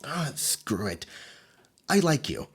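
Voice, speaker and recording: A man's voice: mid-range voice, sing-song, slow pace, breathy edge, full character voice. A man playing a hesitant, whispery male demon. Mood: flustered. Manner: hushed, hesitant, stammering. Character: nervous gossipy male demon with intuition. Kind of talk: ASMR audio drama.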